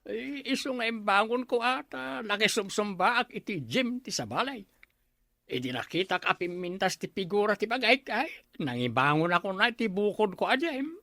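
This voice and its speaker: A chatty village gym owner with an Ilocano accent, coaxing and teasing in a radio comedy. The voice is very high for a man and sharply bright. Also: sing-song, normal speed, creaky, dry recording, heavily acted. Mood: boastful, persuading.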